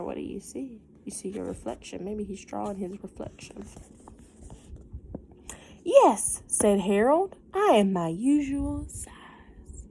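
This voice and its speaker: A woman teacher reading a picture book aloud to young children, with chatty asides and questions, in an expressive, gentle teacherly manner. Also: mid-range voice, gravelly, slight background noise, low-quality recording.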